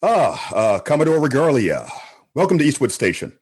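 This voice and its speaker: A man's voice: medium pitch, very animated, normal speed, a little mellow, husky. A man playing a pompous, resolute admiral in a comedy sci-fi radio play, with a declamatory delivery. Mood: resolute, formal. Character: pompous, resolute male admiral overseeing space.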